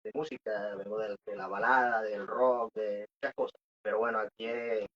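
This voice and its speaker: A man's voice: on the low side, steady tone, moderate pace, a bit bright, a little hiss or hum. A man with a Venezuelan Maracucho accent, a band singer casually answering questions in a radio interview. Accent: Venezuelan Maracucho Spanish. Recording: dull, muffled radio audio.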